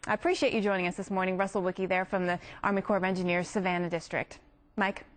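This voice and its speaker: A woman's voice: on the low side, fairly even intonation, fast talker. A woman with an American accent working as a news anchor, presenting and interviewing on live television.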